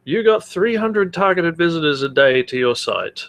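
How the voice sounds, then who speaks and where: A man's voice: on the high side, lively intonation, normal speed, fairly bright tone. A man co-hosting a podcast in casual banter, with a sardonic, sweary way of talking. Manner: sardonic, profane. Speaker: podcast co-host.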